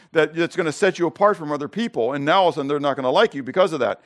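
A middle-aged male pastor preaching a sermon with Greek word study, conversational and peppered with "okay?" and rhetorical questions, rising louder on key points. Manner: rises louder and higher on emphasis.

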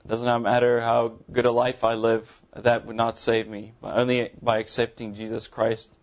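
A man in his thirties from South Africa, an Afrikaans speaker with a mild Afrikaans accent, giving his testimony from the pulpit of a church in an earnest, halting way with many ums. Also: mid-range voice, monotone, highs cut off. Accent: Afrikaans-accented English, mild. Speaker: visiting pastor. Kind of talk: sermon testimony to a congregation. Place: church sanctuary.

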